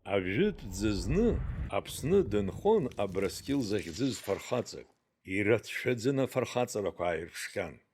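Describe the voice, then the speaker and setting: A man's voice: on the low side, big pitch swings, a little slow, darkish tone, a little hiss or hum. A man narrating a scripted heroic tale as a storyteller. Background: music.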